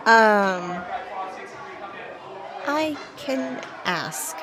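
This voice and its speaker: A guarded, unimpressed woman played by an actress who speaks slowly at a middling pitch. She sounds wry and reluctant, with faint voices of people behind her. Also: lively intonation, crowd noise. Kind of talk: audio drama dialogue.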